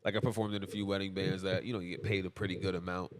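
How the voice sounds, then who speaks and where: A young man co-hosts a podcast and asks his guest long, wandering questions in a relaxed, easygoing tone. His voice sits fairly low. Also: racing. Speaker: podcast co-host. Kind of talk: casual podcast interview.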